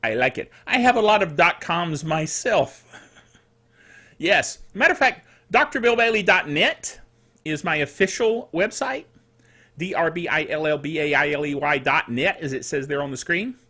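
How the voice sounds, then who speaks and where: Animated, high-pitched middle-aged man with dry throat. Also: moderate pace. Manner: playful, quirky, theatrical asides. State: dry, slightly rough throat. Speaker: host of a tech news show. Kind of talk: chatty solo tech news commentary.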